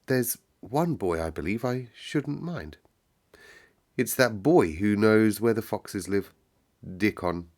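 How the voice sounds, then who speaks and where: A man's voice: fairly low pitch, slow, a little mellow. A man voicing a sickly, haughty young boy from a storybook, brooding and earnest in manner. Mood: thoughtful. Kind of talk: storybook character reading.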